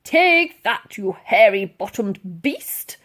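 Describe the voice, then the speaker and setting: A woman's voice: medium pitch, sing-song, slow pace, a bit bright, full character voice. A woman voicing a pompous, indignant old male colonel who barks and blusters, from a children's storybook. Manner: blustering, barking.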